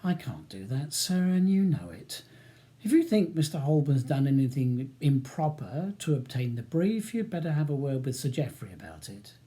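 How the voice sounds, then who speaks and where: A deferential male clerk in an audiobook, voiced by the narrator, reasonable and respectful. He speaks in a mellow, measured tone and stands his ground politely. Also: mid-range voice, very animated, close to an everyday voice.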